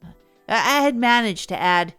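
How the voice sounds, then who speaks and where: A woman's voice: fairly high pitch, lively intonation, moderate pace, fairly bright tone, clear, resonant tone, faint music behind. A woman playing an awkward radio advice host, chatting in a rambling, hesitant, conversational way in a comedy audio show. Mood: chatty, rambling.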